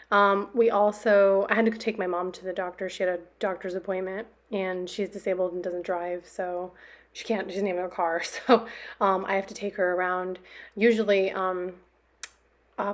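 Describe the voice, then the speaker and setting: A young woman talks through her week in a relaxed, rambling voiceover. Her voice is noticeably hoarse and worn, and she fills her sentences with ums and little laughs. Her pitch sits in a middle range. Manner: rambling, chatty, many fillers and little laughs. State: extra hoarse after a workout. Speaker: planner vlogger. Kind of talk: casual voiceover chat.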